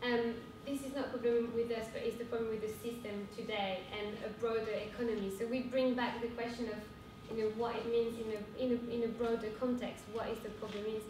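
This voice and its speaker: A young woman with a French accent giving an earnest conference talk, pausing often with fillers. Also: fairly even intonation. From France. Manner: earnest, hesitant with frequent fillers. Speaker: cooperative speaker. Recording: echoey hall from far off with rumble.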